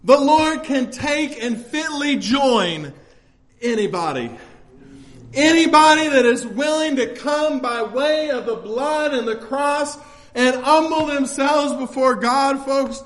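High-pitched American preacher, emphatic and folksy. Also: male voice, animated, takes it slowly, a bit bright. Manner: emphatic, exhortative, folksy delivery. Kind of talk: impassioned church sermon.

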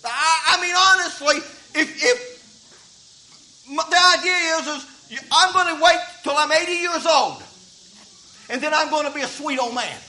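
A man's voice: very high voice, measured pace, sharp tone, rough recording. A man, preaching a sermon in a folksy way that repeats words as he starts thoughts. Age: 50s. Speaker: pastor.

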